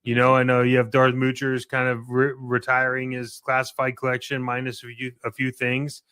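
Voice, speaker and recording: A man's voice: mid-range voice, monotone, moderate pace, pushed and bright, clear, resonant tone, dry recording. A man co-hosting a podcast in casual, plain-spoken and opinionated style. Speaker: podcast co-host.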